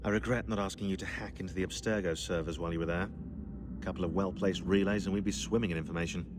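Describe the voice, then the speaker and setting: An actor playing a dry, sardonic, bookish researcher in a video game cutscene, talking in a wry, articulate way. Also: male voice, low voice, steady tone, quick pace, music in the background, natural voice. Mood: impatient, curious.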